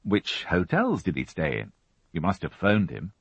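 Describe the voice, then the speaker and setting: A man voicing a patient, weary male chief inspector who questions calmly and evenly, in a storybook reading. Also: low-pitched, moderate pace, vocal fry, slightly rough, no echo, exaggerated voice. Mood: questioning. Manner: calm, level questioning. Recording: dull, dry studio audiobook.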